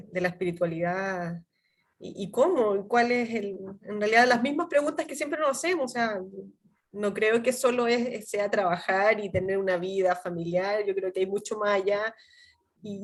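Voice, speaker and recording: A woman introducing herself to a group on a video call, speaking thoughtfully and restarting her sentences as she searches for words. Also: mid-range voice.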